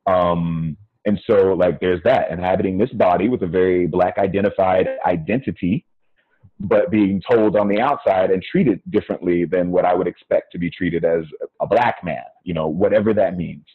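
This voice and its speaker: Middle-aged American man, guest on a video call; low and husky, dry tinny line, thoughtful with restarts. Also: normal speed, no echo. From United States. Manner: reflective, many fillers and restarts. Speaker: podcast guest. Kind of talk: casual conversation. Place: small room indoors. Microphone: earphone mic on video call. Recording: phone-like narrow sound, dry.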